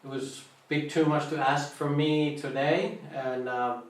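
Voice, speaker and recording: Male Buddhist monk, Finnish accent, halting informal talk, very echoey room, mic at a distance. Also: a little high, steady tone. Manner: halting, searches for English words. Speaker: Buddhist monk teaching meditation. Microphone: online live stream, distant mic.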